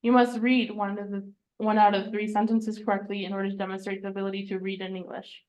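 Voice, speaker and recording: A woman's voice: mid-range voice, little pitch movement, fast, a little mellow, poor audio. A woman teaching a citizenship class, explaining the reading test in a plain, reassuring way, step by step. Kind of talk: casual classroom instruction. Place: online class recording.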